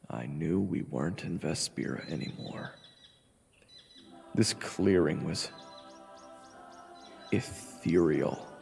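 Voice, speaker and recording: A man's voice: fairly low pitch, gravelly, darkish tone, long reverb tail. A man narrating a scripted fantasy story in the first person. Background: faint music.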